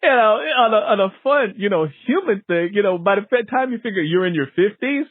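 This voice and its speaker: A man's voice: sits high, brisk, no room sound. Man chatting on a narrow phone-like line. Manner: animated, jokey, exclaims and mimics reactions. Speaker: interview host. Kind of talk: casual chat interview.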